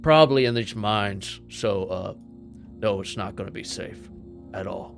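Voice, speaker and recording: A man's voice: on the low side, a little slow, gravelly, music in the background. A man playing a tabletop roleplaying game, talking in a blunt, informal way. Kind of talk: casual tabletop roleplay chat.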